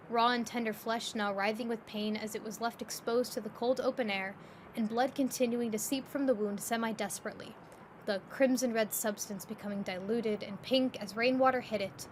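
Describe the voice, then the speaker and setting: Woman story narrator, kinda high voice, expressive scripted telling, faint background ambience. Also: slight background noise.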